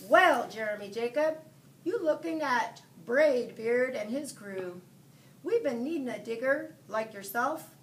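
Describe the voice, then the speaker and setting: A woman's voice: expressive, unhurried. A woman reading a storybook aloud, voicing a blustering male pirate captain who bellows his lines. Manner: bellowing, booming exclamations. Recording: distant mic, faint background noise.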